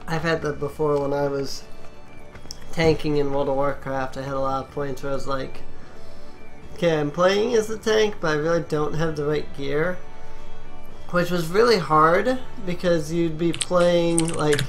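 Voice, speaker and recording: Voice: mid-range voice, a little slow. A gaming video host chatting casually during gameplay, explaining at length in a rambling, anecdotal way. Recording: game music behind voice.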